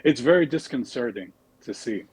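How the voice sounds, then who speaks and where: A man's voice: medium pitch, expressive, moderate pace, darkish tone, husky, poor audio. A young man from the United States, a debate guest who speaks politely and a bit hesitantly. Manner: polite, hesitant, uses fillers. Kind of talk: debate argument.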